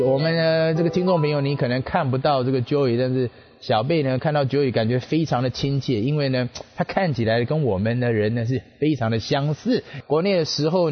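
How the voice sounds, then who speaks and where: Male radio host interpreting, lo-fi. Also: mid-range voice. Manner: measured, with frequent filler pauses. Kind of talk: unhurried radio interview, translating each answer.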